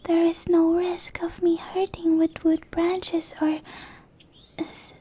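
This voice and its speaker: A timid tree dryad, played by a woman for an ASMR roleplay. Her whispered voice is tiny and very high, gentle and soft-edged. She speaks extremely slowly, stumbling bashfully over her words. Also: monotone. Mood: grateful, timid. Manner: hesitant, stammering, apologetic, slow.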